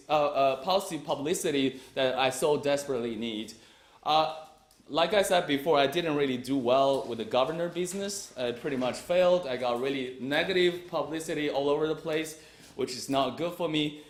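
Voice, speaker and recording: Young guy arguing in a debate, lots of uhs, sounds like a big echoey room. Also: medium pitch. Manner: argumentative, hesitant with frequent fillers. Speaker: competitive debater. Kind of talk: competitive debate speech.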